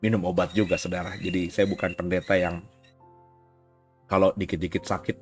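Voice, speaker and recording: Low-voiced pastor with a slight cough. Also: male voice, level tone, fairly quick, faint music behind. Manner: informal, frequent "ya" tags and asides. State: recovering from a cough. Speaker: pastor giving a recorded sermon. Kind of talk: conversational sermon.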